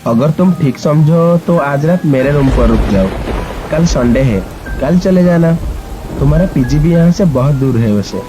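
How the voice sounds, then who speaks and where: A man playing a caring, smitten young office boss in an animated story, gently insistent, with music and rain behind him. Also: on the high side, moderate pace, lightly acted, rough recording. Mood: caring, reassuring.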